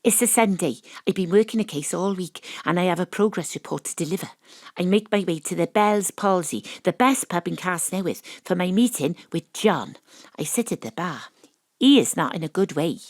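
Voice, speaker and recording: A wry investigator tells her story in a comic detective audio play. Her delivery is dramatic and swings widely in pitch, recorded close and dry. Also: animated, moderate pace, darkish tone, dry recording. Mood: wry, businesslike. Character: Astrid, wry female Welsh private investigator narrating.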